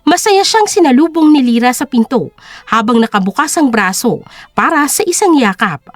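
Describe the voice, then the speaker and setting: Casting: female audio-drama narrator, high pitch, lively melodic delivery, dry close sound. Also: moderate pace, no room sound. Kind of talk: scripted dramatic story narration.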